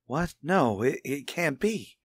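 A man's voice: a little high, big pitch swings, breathy edge, dry recording, clean studio sound, full character voice. Man acting an anxious, pleading radio-drama husband. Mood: shaken. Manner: halting, earnest, pleading. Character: anxious husband confiding a grim suspicion to his friend.

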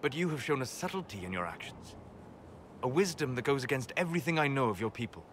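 A man acts out a scholarly elven mage for a fantasy game. He is calm and reserved, choosing formal words with careful pauses in a medium-pitched voice. Ambient noise is audible behind him. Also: natural voice. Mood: grave, critical. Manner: measured, deliberate, formal phrasing with pauses. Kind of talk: video game dialogue acting.

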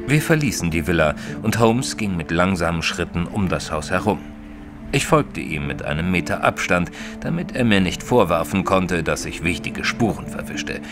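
An earnest companion to a detective tells his tale in a deep voice with animated intonation. His delivery is measured and literary, in audio-drama style, with faint music beneath. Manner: measured, formal, literary storytelling. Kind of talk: scripted first-person narration and audio drama acting.

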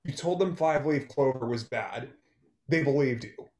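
Bitter, guarded male songwriter played by a man for a stage-play reading. Mid-pitched, terse, sarcastic, in a roomy echo. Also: unhurried, a little mellow, lightly acted. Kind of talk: online one-act play table read. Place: small room at home, video call. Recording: roomy, echoey video-call sound.

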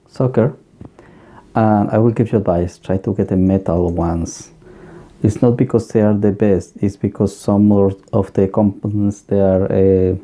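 Gravelly, dark-voiced man explaining workshop gear. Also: sits low. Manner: halting, plain advice with many fillers and restarts. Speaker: electronics workshop host. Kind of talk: casual hands-on equipment walkthrough. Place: electronics workbench room, indoors.

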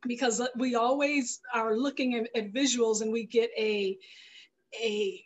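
A woman's voice: a little high, steady tone, measured pace. A middle-aged woman guest telling her story casually in a video-call interview, often acting out what was said. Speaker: guest filmmaker. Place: indoor room at home, video call. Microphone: headset on a video call.

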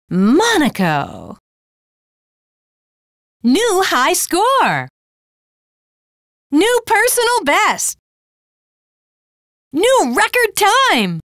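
A peppy female arcade race announcer, very high and bright. Her callouts leap up and down in pitch, recorded dry and close. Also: no room sound, full character voice. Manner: cheering energetic exclamations. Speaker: game announcer. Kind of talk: excited arcade racing callouts.

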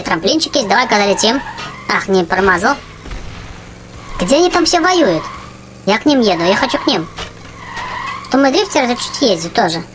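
High-voiced excitable woman gamer over music. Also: low-quality recording. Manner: excitable, exclamatory, chatty running reactions. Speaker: gaming channel host. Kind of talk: casual game commentary for children. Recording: game music behind the voice. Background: video game music.

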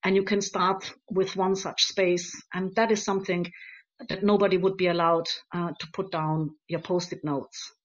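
A woman's voice: on the low side, level tone, normal speed. A woman in her thirties giving a webinar talk over slides, earnest and encouraging, with many ums and uhs. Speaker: conference speaker on activism. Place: home room on a video call. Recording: slightly dull lo-fi video call audio.

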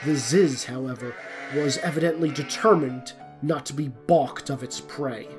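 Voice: medium pitch, unhurried. Storyteller over plucked string music. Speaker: narrator. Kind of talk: scripted storybook narration.

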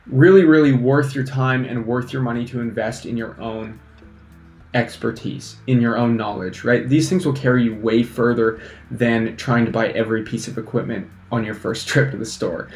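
A young man, a fly fishing instructor, giving energetic casual advice to camera at a desk microphone, often checking in with "right?". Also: medium pitch, moderate pace, echoey room, soft background music. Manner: energetic, animated hand gestures, lots of "right?". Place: small indoor studio against a plain backdrop. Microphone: desk microphone in front.

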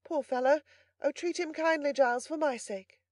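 Soft, high, gentle young lady, voiced by a woman reader. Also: moderate pace, no room sound, studio quality, lightly acted. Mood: gentle pleading. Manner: soft, hushed, pleading. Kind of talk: audiobook character voice.

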